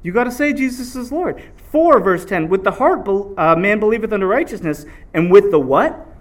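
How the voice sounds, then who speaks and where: Man, preacher, sermon, call-and-response, somewhat high voice, very lively pitch. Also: normal speed.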